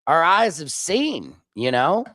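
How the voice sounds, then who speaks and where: A man's voice: a little high, very animated, slow pace, sharp tone, dry recording, studio quality. A man hosting a political livestream, talking back heatedly to news clips and reading chat comments aloud from his home laptop setup. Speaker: livestream host.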